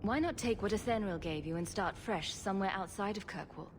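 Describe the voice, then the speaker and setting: A woman's voice: medium pitch, brisk, noisy background, natural voice. An actress playing a sardonic, bold young woman adventurer in a video game, with a wry, flippant manner. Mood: measured, questioning.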